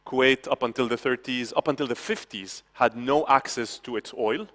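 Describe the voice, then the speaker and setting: A man gives a conference talk in a casual, chatty way. He checks in with the audience and restarts his sentences often. His voice sits at a middle pitch, and a long hall reverb trails behind it. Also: moderate pace, a bit bright. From Lebanon. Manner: conversational, frequent "okay?" asides and restarts. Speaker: conference speaker.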